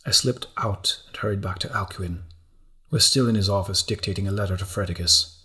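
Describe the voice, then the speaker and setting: A man narrating a historical novel as an audiobook, with measured, deliberate phrasing. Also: deep voice, pushed and bright. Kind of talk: scripted literary narration.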